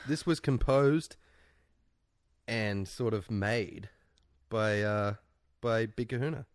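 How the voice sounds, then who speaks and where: A man co-hosting a casual podcast, hungover and worn out, joking and laughing loudly. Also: lowish voice, slow pace, no room sound, clean studio sound. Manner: loud, laughing, joking banter. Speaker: podcast co-host. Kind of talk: casual chat.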